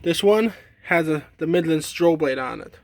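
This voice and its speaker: A man giving a casual, unscripted demonstration as a hobbyist, halting and trailing off mid-thought. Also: fairly high pitch, no room sound. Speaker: hobbyist demonstrating a weather radio collection.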